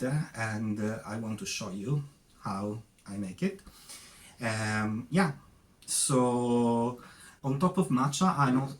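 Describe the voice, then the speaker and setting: A man from Sicily with an Italian accent, hosting a casual cooking tutorial and chatting loosely with many fillers. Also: medium pitch. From Sicily, Italy. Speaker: cooking channel host.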